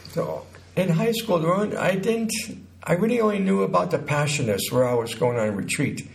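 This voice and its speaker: A man in his sixties from Connecticut recounts his life as an interview guest, haltingly, pausing mid-sentence. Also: high voice, expressive, creaky. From Connecticut, United States. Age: 60s.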